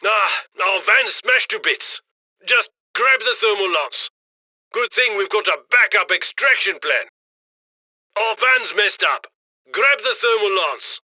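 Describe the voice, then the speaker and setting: A man playing a brash, upbeat heist crew handler in a video game, giving brisk, cocky commands. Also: high voice, bright, forceful tone, dry recording, heavily acted. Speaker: mission handler giving instructions over comms.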